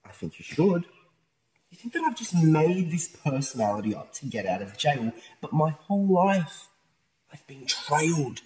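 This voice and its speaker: Male actor as distressed accused young man, husky voice, earnest and pleading, modern radio drama. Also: a little high, big pitch swings, moderate pace, a little mellow, exaggerated voice, rough recording. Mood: defensive. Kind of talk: modern radio play acting.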